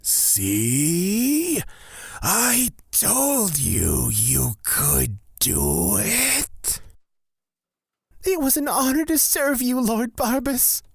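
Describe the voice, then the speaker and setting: A man's voice: extremely high pitch, sing-song, drawn-out words, vocal fry, breathy edge, dry recording, clean studio sound, exaggerated voice. A man playing an anxious, earnest young male blood witch in a fantasy audio drama. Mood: nervous, eager.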